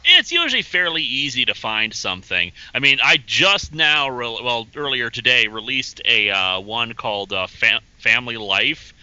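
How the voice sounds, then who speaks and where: A man chats as a guest on a podcast, rambling easily from one thought to the next with small chuckles. His voice is very bright, smooth and crisp. The audio is dry and slightly dull and lo-fi. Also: medium pitch, big pitch swings, moderate pace, few pauses, no echo. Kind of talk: casual podcast chat.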